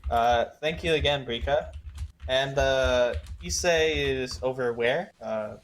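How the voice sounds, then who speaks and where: A slow-speaking man takes part in a casual tabletop roleplaying game, searching for his words. His mic is noisy, with clicks and typing behind him. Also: medium pitch. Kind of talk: casual in-game description and talk.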